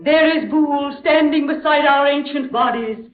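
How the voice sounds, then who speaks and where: A woman's voice: sits high, moderate pace, faint music behind, close to an everyday voice. An actress playing a solemn, regal ancient spirit empress in a young woman's body, declaiming formally in old-time radio drama style. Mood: grave, urgent. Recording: muffled, narrow-band vintage radio recording.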